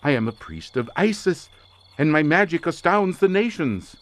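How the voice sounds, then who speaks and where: An obsessed researcher in a mystical trance for a horror radio play. His voice is raised in pitch and swings dramatically up and down. He speaks in incantatory, halting phrases, as if watching visions. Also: sing-song. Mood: grand, rapt. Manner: theatrical, incantatory, halting with repeated words. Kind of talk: dramatic audio horror acting.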